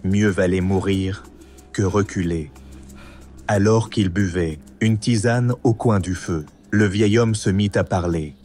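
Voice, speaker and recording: A man's voice: low voice, slow, darkish tone, soft background music. A man reading scripted storybook narration for a cartoon.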